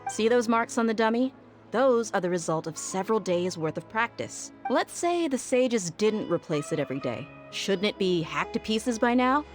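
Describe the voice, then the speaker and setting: A woman's voice: medium pitch, big pitch swings, normal speed, natural voice. A woman voicing a confident, disciplined young female mercenary fighter in a video game, explaining things in an assured, easygoing way. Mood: confident, firm. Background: faint game music.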